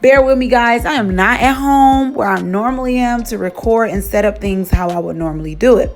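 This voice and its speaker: A young woman beauty vlogger chatting casually and rambling with fillers, her voice a little hoarse from losing it. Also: a little high, brisk, soft background music. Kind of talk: casual chat. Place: vacation lodging, indoors.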